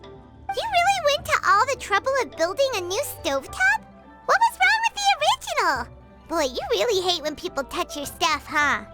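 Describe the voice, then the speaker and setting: A woman voicing a tiny, chirpy, blunt, childlike fairy companion in a video game, with a squeaky voice. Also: huge pitch swings, moderate pace, exaggerated voice. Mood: teasing, blunt. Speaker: game character voice actor. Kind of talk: cartoonish video game dialogue. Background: prominent background music.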